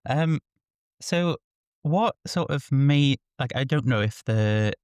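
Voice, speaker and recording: A man's voice: mid-range voice, lively intonation, slow pace, darkish tone, smooth, no echo, clean studio sound. A man hosting a podcast, introducing his guest and asking loose, hesitant questions. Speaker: podcast host. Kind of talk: podcast interview.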